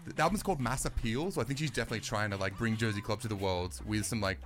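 Chatty low-voiced male radio host. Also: racing, a bit bright, soft background music. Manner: chatty, rambling with many fillers. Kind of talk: casual radio chat.

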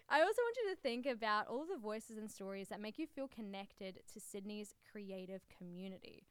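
Aussie woman on community radio, super crisp voice, eager upbeat read, dry. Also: medium pitch, dry recording. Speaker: radio presenter. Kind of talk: read radio promo and intro.

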